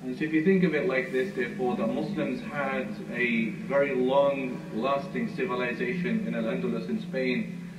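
A man giving a history lecture, telling the story at an unhurried storyteller's pace with frequent "uh" fillers. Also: medium pitch, fairly even intonation. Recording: muffled, roomy, far from mic, noisy.

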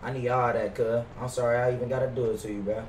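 A man's voice: medium pitch, little pitch movement, fast talker, darkish tone. A young man livestreaming a game, talking in casual, brash, profane slang. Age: young adult. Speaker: game streamer. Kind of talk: casual livestream gaming banter. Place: indoor room at a gaming setup. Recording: roomy, distant sound with faint noise.

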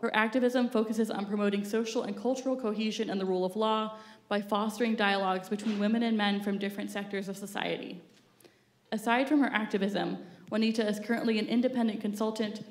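A woman at a podium reading formal speaker introductions on a theater stage. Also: medium pitch. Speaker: event host introducing speakers. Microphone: podium mic. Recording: roomy theater sound.

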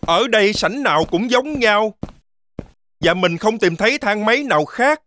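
A woman's voice: mid-range voice, expressive, moderate pace, fairly bright tone, faint music behind, close to an everyday voice. A woman voicing a timid, distrustful little boy goat in a children's cartoon, anxious and indignant. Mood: scared, lost.

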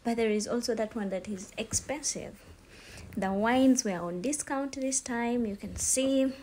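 Young woman, Ugandan accent, informal vlogger explaining things haltingly, phone recording with slight background ambience. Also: fairly high pitch, darkish tone. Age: young adult. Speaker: vlogger, student. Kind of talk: casual shopping vlog narration. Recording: store ambience noise, handheld phone. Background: faint ambient noise.

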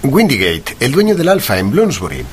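An actor playing a shrewd, courteous and confident gentleman detective in a period mystery drama, articulate and composed. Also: medium pitch, wildly swooping pitch, moderate pace, a little hiss or hum. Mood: polite probing.

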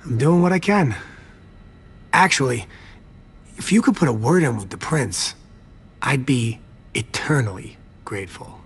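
A man's voice: mid-range voice, animated, a little slow, long pauses, breathy edge, full character voice. An actor voicing a nervous, servile vampire garage attendant in a video game, pleading and evasive in his manner. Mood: deferential, hopeful. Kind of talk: video game cutscene acting.

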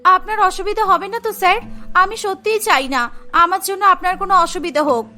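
A woman's voice: very high-pitched, a little fast, sharp tone, soft background music. A woman voicing a brave, caring young mother who works as a medic in a cartoon, earnest and pleading in manner. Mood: hesitant. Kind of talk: Bengali cartoon voice acting.

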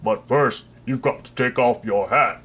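A man doing a slow, gentle storybook executioner. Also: medium pitch, slightly rough. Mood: mild, reluctant. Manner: slow, mild and hesitant.

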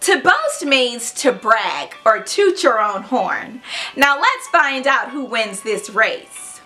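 A woman in her thirties narrates a storybook for young children in a high, bright voice. Her delivery is expressive, teacherly and unhurried. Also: soft background music. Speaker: children's read-aloud host. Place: furnished room indoors.

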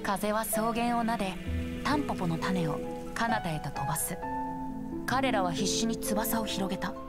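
A woman playing a gentle, solemn storyteller, narrating an old fairytale in a scripted game cutscene. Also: medium pitch, moderate pace, lightly acted. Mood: solemn, lyrical. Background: prominent music.

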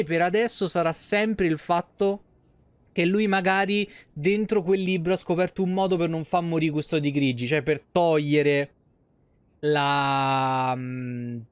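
A man with a smooth, fairly high voice and a Roman Italian accent. He talks like a game streamer chatting to viewers, rambling in colloquial, sweary speech. The sound is dry and close. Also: a little slow, dry recording. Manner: colloquial, swearing, animated digressions. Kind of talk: casual livestream commentary, chatty. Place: small indoor streaming room.

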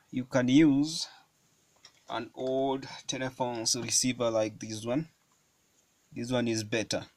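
A man giving a hands-on electronics tutorial at a table, explaining each step slowly with frequent fillers. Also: medium pitch, a little hiss or hum. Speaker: electronics hobbyist demonstrating a circuit. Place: indoors at a wooden table.

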